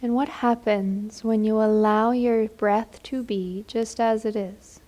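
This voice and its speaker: A woman leading a guided meditation in a gentle, soothing, unhurried manner. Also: on the high side, steady tone.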